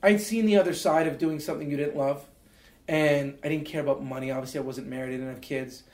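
A man's voice: a little high, fairly even intonation, quick pace, a little mellow. Earnest man telling his story in a roomy space. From Canada. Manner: earnest, many fillers and restarts. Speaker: interview guest. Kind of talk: reflective storytelling. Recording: roomy echo.

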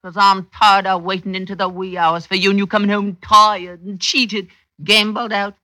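A woman's voice: mid-range voice, level tone, moderate pace, pushed and bright, no room sound, close to an everyday voice. An actress playing a jealous, weary, scornful woman scolding her thief sweetheart in rustic period dialect, in old-time radio drama style. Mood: bitter, threatening.

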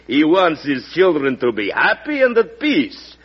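Husky, proud ambassador. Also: male voice, fairly high pitch, huge pitch swings, unhurried, heavily acted. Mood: formal, reverent. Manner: formal, emphatic. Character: proud, indignant Russian ambassador, fiercely loyal. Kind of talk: old-time radio drama acting. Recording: dull old radio broadcast recording.

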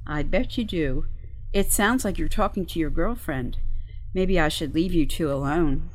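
Female ship's officer woman actor, lowish pitch: sensible, dryly sarcastic, teasing, sci-fi audio drama. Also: measured pace, a little hiss or hum. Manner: level-headed, dryly sarcastic.

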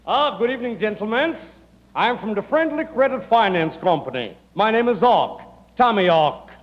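Very high-pitched, oily moneylender. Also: male voice, lively intonation, moderate pace. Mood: unctuous. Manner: over-polite, formal, mangled foreign grammar. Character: fussy, oily, foreign finance company man. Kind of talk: 1940s radio comedy acting. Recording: dull, noisy old radio recording, hall reverb.

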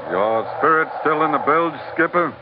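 An actor playing a loyal, plain-spoken first mate on a sailing ship in a radio adventure. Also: male voice, on the high side, lively intonation, normal speed, natural voice. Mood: careful, concerned. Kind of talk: 1940s radio acting. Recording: muffled, hissy old radio recording.

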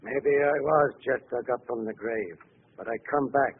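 An actor playing a vengeful gangster back from the grave, deliberate and menacing, in 1940s radio style. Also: male voice, mid-range voice, natural voice. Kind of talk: 1940s radio horror-crime acting. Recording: noisy, narrow-band old radio broadcast.

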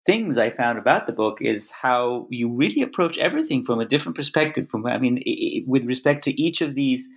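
Halting interviewer, full of restarts. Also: medium pitch, lively intonation. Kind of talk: interview conversation.

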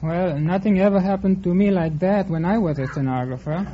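A man's voice: high voice, brisk, a little hiss or hum. A man from Egypt, a quiz contestant, giving modest, hesitant short answers. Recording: muffled 1950s radio recording.

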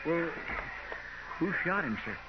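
An earnest, worried western sidekick, with a high voice and folksy, deferential phrasing. He comes through a muffled, noisy radio broadcast from the fifties. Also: sing-song, takes it slowly. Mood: subdued. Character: loyal, anxious, deferential western marshal's helper. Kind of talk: 1950s radio western acting.

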